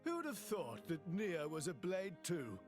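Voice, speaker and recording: Blunt young companion: very lively pitch swings, excitable. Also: male voice, measured pace, over music. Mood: chatty. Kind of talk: video game cutscene acting.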